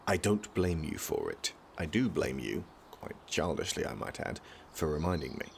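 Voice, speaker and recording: A male actor gives us a young prince of dry, formal wit in an audio drama. The voice is very low with a gravelly fry, delivered deadpan over faint background noise. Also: moderate pace, heavily acted. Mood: dry, teasing. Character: dry, sardonic young prince, reluctant university student.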